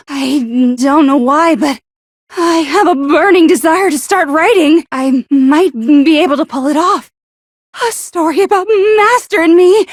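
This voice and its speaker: A woman voicing an anxious, gloomy high school girl in an anime game dub, stammering and accusatory. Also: no room sound, studio quality. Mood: wistful, hesitant. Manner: stammering, accusatory, easily flustered.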